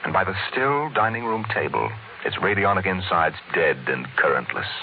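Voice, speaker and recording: A grave radio storyteller with a low voice and sweeping, theatrical intonation. The recording is tinny and vintage, with music behind it. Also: male voice, very animated, normal speed, a little hiss or hum. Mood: ominous. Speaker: narrator and announcer. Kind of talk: dramatic scripted 1950s radio narration.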